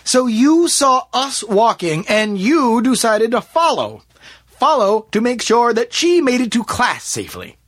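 A man voicing a polite, stern gentleman investigator in an audiobook, with a courteous, formal, probing way of talking. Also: high-pitched, animated, normal speed, husky, no echo, clean studio sound, voice pushed up, heavily acted.

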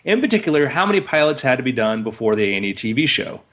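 Blunt male podcast co-host, medium pitch. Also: sing-song, racing, a bit bright. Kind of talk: casual chat.

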